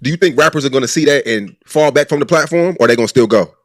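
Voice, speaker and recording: A man's voice: medium pitch, fast talker, dry recording, clean studio sound. A man hosting a podcast, asking loose conversational questions. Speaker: podcast host. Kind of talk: casual interview questions.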